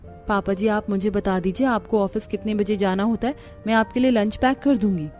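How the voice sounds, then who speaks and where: A woman's voice: mid-range voice, steady tone, moderate pace, darkish tone, soft background music, close to an everyday voice. A woman voicing an earnest, dutiful young bride in a cartoon family story.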